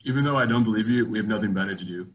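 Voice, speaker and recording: A man reads as a doubtful but willing high school boy. He talks fast in a lowish voice, with faint noise behind the amateur read. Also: close to an everyday voice, rough recording. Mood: doubtful, curious.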